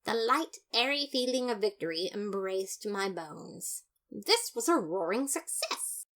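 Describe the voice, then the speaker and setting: A woman's voice: mid-range voice, expressive, measured pace, a bit bright. A woman voicing an earnest, boastful male skeleton detective who narrates his case in a fan audio drama, theatrical and emphatic. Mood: gleeful, proud. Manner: theatrical, self-satisfied, emphatic.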